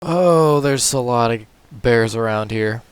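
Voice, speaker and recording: A man with a hoarse, tired voice, casually talking through a puzzle game move by move as a gaming channel host. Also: medium pitch, slow pace. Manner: relaxed, talks through moves step by step. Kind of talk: casual gameplay commentary.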